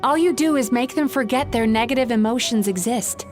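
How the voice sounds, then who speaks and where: A woman plays a calm, principled young woman from a video game. Her slightly high voice stays composed and earnest as she reasons through an argument. Game music plays clearly behind her. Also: fairly quick, natural voice. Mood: firm.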